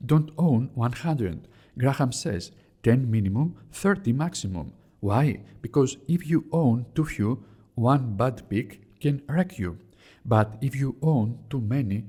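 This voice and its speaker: A man with a Greek accent talks through a classic investing book as a scripted lesson. His voice is husky and sits at an ordinary middle pitch, and his tone stays plain and direct. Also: dark tone. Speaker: host of investing book series. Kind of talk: scripted explainer narration.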